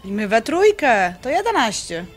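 An actress playing a perky, cheeky young bride-to-be with an eager, folksy manner in a radio drama. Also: female voice, on the high side, sing-song, normal speed. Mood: earnest.

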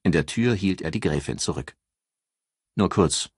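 Male novel narrator: very low, husky voice, measured scripted read, dry recording. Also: animated, darkish tone, dry recording.

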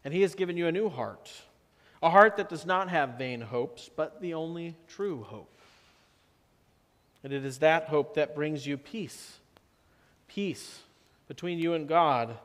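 Slow-preaching pastor in a reverberant church. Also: male voice, fairly high pitch, expressive. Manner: slow, measured preaching with drops to soft emphasis. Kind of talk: sermon. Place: church sanctuary.

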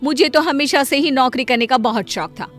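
A woman's voice: high-pitched, fairly quick, fairly bright tone, faint music behind. A woman voicing a clever, boastful young working daughter-in-law in a cartoon family story, bossy in manner. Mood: smug. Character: clever, self-important young elder daughter-in-law, newly employed. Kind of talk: animated Hindi family story dialogue.

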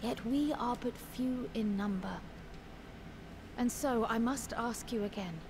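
A woman's voice: a little high, unhurried, noisy background. A woman voicing a small, youthful scholar mage in a video game, polite, formal and thoughtful. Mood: solemn, earnest. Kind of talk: video game cutscene acting.

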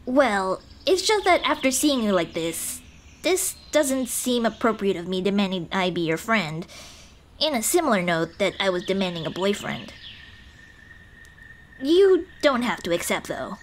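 A woman voicing a small, shy, kind-hearted goblin girl in an ASMR roleplay, speaking hesitantly and gently to comfort the listener. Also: mid-range voice, very animated, a little hiss or hum. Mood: gentle, timid. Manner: hesitant, timid, soft-hearted, halting. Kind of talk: ASMR roleplay, intimate one-sided dialogue. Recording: birdsong and outdoor ambience behind voice. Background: birdsong, nature ambience.

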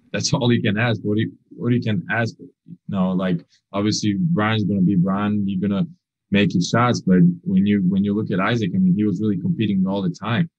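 Young guy on a video call, lowish voice, lots of uh and you know, earnest, choppy answers. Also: dull audio. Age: young adult. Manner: halting, leans on fillers and restarts; earnest, non-standard grammar. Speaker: basketball player. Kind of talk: postgame press conference answers.

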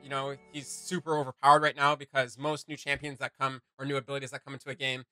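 Bright-voiced man, chatting casually. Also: medium pitch, little pitch movement, fast, soft background music. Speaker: host of a reaction channel. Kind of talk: casual reaction commentary. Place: small home gaming room, indoors.

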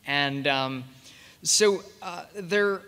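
Halting bright-voiced man in a reverberant hall. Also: fairly high pitch, clear, resonant tone. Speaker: panelist, radio play producer. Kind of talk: casual panel talk. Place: large convention meeting room, panel table. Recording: hall reverb, convention room PA.

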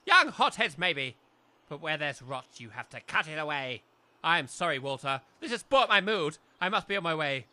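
High-voiced pompous English major. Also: male voice, sing-song, moderate pace, bright, forceful tone, dry recording, exaggerated voice. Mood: indignant. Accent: upper-class English. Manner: pompous, flowery. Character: pompous, excitable male English village major. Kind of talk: comic audio drama acting.

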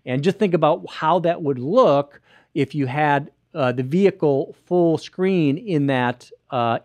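A man in his thirties hosts an explanatory web show, his voice sitting at an ordinary middle pitch. He talks loosely, with frequent fillers and restarts. The sound is dry and close, picked up by a podcast mic on a boom arm. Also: darkish tone, dry recording. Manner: gestures a lot, many fillers and restarts. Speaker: host. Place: small studio with screen behind. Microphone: boom-arm podcast mic close to mouth.

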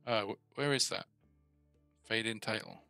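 Bright, gravelly man muttering over code. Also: fairly low pitch, unhurried. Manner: muttering to himself in fragments. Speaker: game developer streaming his coding. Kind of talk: casual think-aloud coding commentary. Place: indoor desk. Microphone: headset. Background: keyboard typing, clicks, faint music.

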